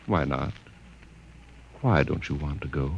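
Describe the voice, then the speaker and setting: Stern jealous martian husband, deep voice, curt and bossy, old radio play. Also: male voice, unhurried, vocal fry, slightly rough, dull audio, heavily acted. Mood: probing, suspicious. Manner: curt, dismissive, commanding. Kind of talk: old radio drama acting.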